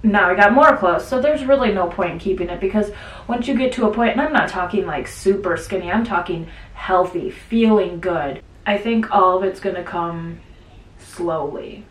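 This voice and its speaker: A woman's voice: mid-range voice, normal speed. A chatty woman co-hosting a casual podcast, rambling from one point to the next with a stuffy nose. She sits back from the mic, so her voice carries in a roomy space. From central Wisconsin. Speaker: podcast co-host. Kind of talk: casual chat. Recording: roomy sound, mic at a distance.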